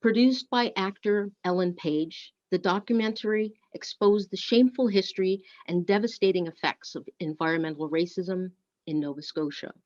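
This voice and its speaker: A woman reading a prepared script aloud as a service leader, with deliberate, measured delivery. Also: mid-range voice, a little mellow. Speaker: worship service co-leader and reader. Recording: dull, lo-fi muffled sound.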